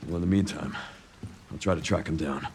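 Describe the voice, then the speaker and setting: A grim male agent gives terse, clipped orders in a very deep voice. He sounds like he is on a hunt. The game audio carries a steady hiss underneath. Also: brisk.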